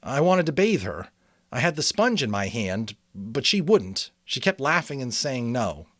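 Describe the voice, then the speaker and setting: A man's voice: medium pitch, very animated, a little slow, a bit bright, no echo, pitched above the performer's own voice. Storybook boy, played by a male reader: earnest, hesitant, careful in explaining, dry close sound. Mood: embarrassed. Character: hesitant, earnest young boy recounting an embarrassing dream.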